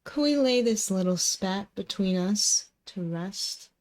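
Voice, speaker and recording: Slow, teasing demon girlfriend, acted by a woman. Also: medium pitch, animated, a little mellow. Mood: coaxing, ominous. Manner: slow, coaxing, condescending pet-name teasing. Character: possessive, teasing demon inhabiting a controlling ex-girlfriend. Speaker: ASMR roleplay performer. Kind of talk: intimate ASMR roleplay. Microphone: close binaural microphone.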